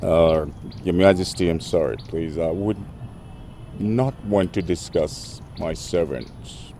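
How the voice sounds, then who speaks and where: An actor playing a haughty, short-tempered royal man in a film drama, with a pompous, halting delivery. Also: sits low, mellow, a little hiss or hum. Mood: formal, restrained.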